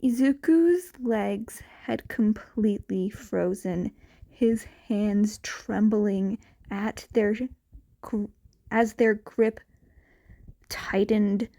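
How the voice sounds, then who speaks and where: A woman narrating fan fiction as an amateur audiobook reader, in an expressive scripted style. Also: medium pitch, extremely slow, gravelly.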